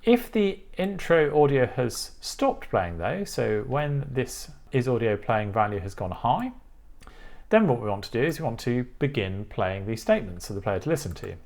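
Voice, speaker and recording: A man's voice: mid-range voice, very animated, normal speed, darkish tone. A man giving a methodical, step-by-step technical tutorial as the presenter. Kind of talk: explanatory coding tutorial walkthrough.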